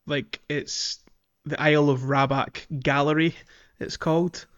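A man's voice: fairly high pitch, little pitch movement, measured pace, a bit bright, clear, resonant tone, dry recording, highs cut off. A man co-hosting a podcast, chatting casually about a video game in an agreeable, hesitant, giggly way. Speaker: podcast co-host.

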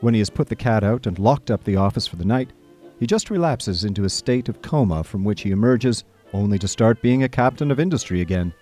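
A man playing a chatty, idle young gentleman who narrates a comic radio drama in breezy, old-fashioned upper-class phrasing. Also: lowish voice, animated, brisk, faint music behind. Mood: amused explaining.

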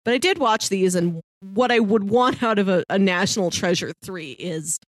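A dry, close-sounding recording of a woman with an average-pitched voice. She talks casually as a podcast co-host discussing films. Her sentences loop and build as she thinks aloud. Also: moderate pace, no echo.

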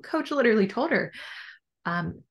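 A young adult woman with a low voice, talking casually and earnestly as a coach being interviewed on a podcast. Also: animated, normal speed. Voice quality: low-pitched voice. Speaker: bodybuilding coach and podcast guest.

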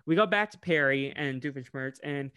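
A man co-hosting a podcast, recapping a cartoon episode in casual chat, animated and laughing often. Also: mid-range voice, moderate pace, clear, resonant tone, no room sound. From United States. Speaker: podcast co-host.

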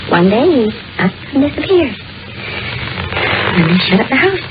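A woman's voice: sits high, very animated, unhurried. An actress playing a chatty, gossipy neighbor woman in a 1940s radio drama, talking in a folksy way. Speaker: Mrs. Graydon, a gossipy neighbor. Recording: dull old radio broadcast with music.

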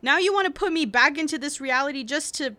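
A woman playing a determined, earnest young heroine in an audio drama, with an intense, deliberate delivery. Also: sits high, animated, pushed and bright, dry recording. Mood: angry, pleading. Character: determined, earnest young heroine fighting to save her family. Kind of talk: audio drama acting with narration. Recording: recording with faint background noise.

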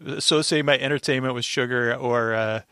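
A man chatting casually as a podcast guest, rambling and halting as he circles back over his words. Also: medium pitch, fairly even intonation, bright, forceful tone, clear, resonant tone, dry recording, studio quality. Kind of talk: casual conversation, recapping an old radio show.

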